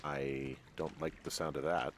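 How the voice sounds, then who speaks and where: An actor playing a guarded, dryly joking fire lookout in naturalistic video game dialogue, low-key and understated. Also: male voice, level tone, measured pace, a little hiss or hum.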